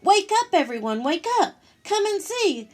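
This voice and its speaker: A woman voicing an excited little girl in a children's storybook, exclaiming with gleeful delight. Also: very high voice, moderate pace, faint music behind, voice pushed up. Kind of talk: children's storybook read-aloud.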